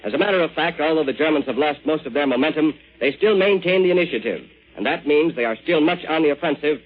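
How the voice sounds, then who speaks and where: A man with an American accent reading a scripted 1940s radio news bulletin in a formal, measured manner. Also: on the high side, breathy edge. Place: radio newsroom. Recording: muffled vintage 1940s radio broadcast.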